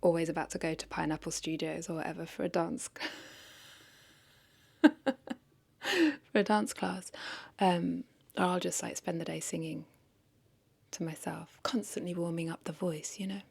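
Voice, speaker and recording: A woman's voice: on the low side, fairly even intonation, moderate pace, vocal fry, long pauses, darkish tone, slightly rough. A woman talking casually in an unscripted vlog, rambling and correcting herself as she thinks aloud. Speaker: content creator, vlogger.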